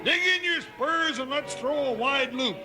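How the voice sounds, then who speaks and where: An actor playing a bumbling, good-hearted, talkative cowboy sidekick with an American Western accent in a 1950s radio western. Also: male voice, very high voice, moderate pace, music in the background. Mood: chatty. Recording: vintage 1950s radio recording.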